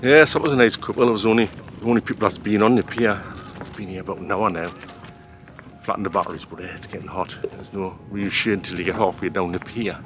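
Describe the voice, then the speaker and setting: A man's voice: on the low side, quick pace, fairly bright tone, soft background music. An older man chatting casually as a travel vlogger, walking a long pier outdoors and making wry asides. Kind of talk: casual travel vlog narration.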